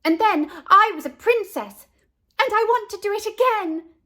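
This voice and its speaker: A woman voicing an excited little girl in a storybook, squealing and pleading to play. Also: very high-pitched, moderate pace, voice pushed up. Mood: gleeful.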